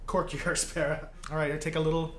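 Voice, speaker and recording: Higher-voiced man playing in a roomy space. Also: flat delivery, fairly quick, fairly bright tone. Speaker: player in tabletop game. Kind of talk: casual in-character table chat. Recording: roomy echo, faint noise.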